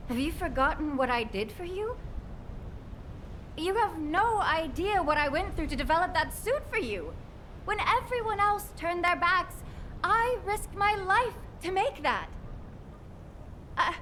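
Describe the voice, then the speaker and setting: High-voiced, earnest woman scientist. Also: fairly quick, few pauses, slight background noise. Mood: hurt, pleading. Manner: earnest, emotionally expressive. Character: anguished, caring woman scientist, protective and reproachful. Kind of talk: dramatic video game voice acting.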